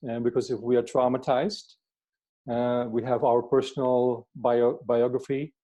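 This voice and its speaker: Older male interviewee, Dutch accent. Mid-pitched, soft dark tone, reflective and halting, repeats words while explaining. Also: little pitch movement, low-quality recording. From Netherlands. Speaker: workshop facilitator, therapist being interviewed.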